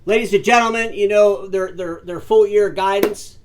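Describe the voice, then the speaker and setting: A man hosting his own industry commentary show, talking straight to his audience in an emphatic way, calling them folks and ladies and gentlemen, often repeating words and restarting sentences. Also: high voice, steady tone, normal speed, background noise. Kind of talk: solo commentary to camera.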